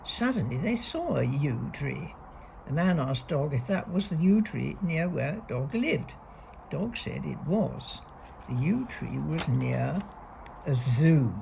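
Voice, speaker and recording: Woman storybook reader for young children, very creaky voice, lively melodic swings, emphatic key words, faint background noise. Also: low-pitched. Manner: deliberate, expressive read-aloud with emphasised key words. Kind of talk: reading a young children's picture book aloud.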